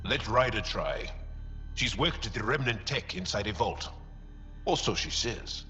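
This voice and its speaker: An actor playing a confident, diplomatic alien companion in a video game, direct and expressive. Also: male voice, on the low side, measured pace. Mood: assured. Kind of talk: cinematic video game acting. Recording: dull game audio under clear music.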